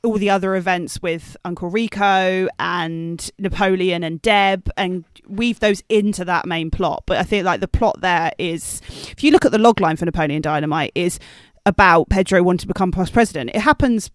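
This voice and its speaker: A woman's voice: fairly low pitch, normal speed, few pauses, no echo. Woman, analytical, dry close sound. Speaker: podcast co-host. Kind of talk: casual film discussion.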